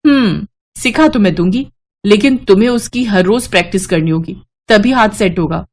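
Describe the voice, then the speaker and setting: A young daughter-in-law from a cartoon family story voiced by a woman. She is kind and patient, with a gentle, reassuring way of talking. The pitch is medium for a woman. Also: normal speed, lightly acted. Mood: friendly, encouraging. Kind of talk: Hindi animated moral-story voice acting.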